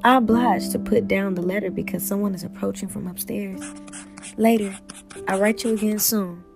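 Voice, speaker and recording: A woman's voice: mid-range voice, fairly even intonation, normal speed, vocal fry, over music, natural voice. A woman acting an earnest, wistful young girl. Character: earnest eleven-year-old village girl longing for her father. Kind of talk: audio drama acting.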